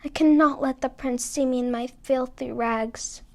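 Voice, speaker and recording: Wistful, earnest young fairy tale heroine, high voice, exclamatory played by a female reader. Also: fairly even intonation, normal speed. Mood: anxious. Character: young Cinderella, mistreated, wistful, wide-eyed and eager. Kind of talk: storybook character voice.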